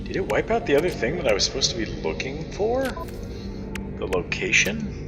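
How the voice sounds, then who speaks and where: A man giving casual, unscripted gameplay commentary, thinking aloud in halting fragments. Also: huge pitch swings, music in the background. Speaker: gaming video host. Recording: loud constant background noise, vehicle-like rumble.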